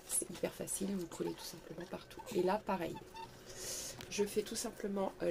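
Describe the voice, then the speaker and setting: A middle-aged woman with a cold talks through a craft tutorial in her living room, filling gaps with little set phrases. Her voice is gravelly and stuffy, and there is steady noise behind her on the recording. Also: lowish voice. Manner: chatty, repeats "tout simplement" and "voilà", many fillers. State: congested with a cold. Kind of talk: casual craft tutorial narration.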